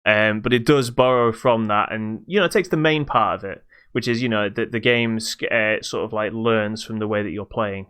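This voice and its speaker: A man's voice: on the low side, moderate pace, few pauses, fairly bright tone, smooth. A British man hosting a podcast, casually reviewing a video game in a hesitant, stop-start way.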